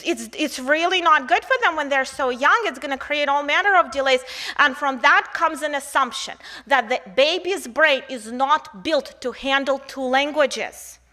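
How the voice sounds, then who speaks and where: A woman's voice: high voice, bright, forceful tone. A woman with a Russian accent giving a conference lecture, speaking conversationally to her listeners as a researcher. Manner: conversational, uses rhetorical questions and tag "right?".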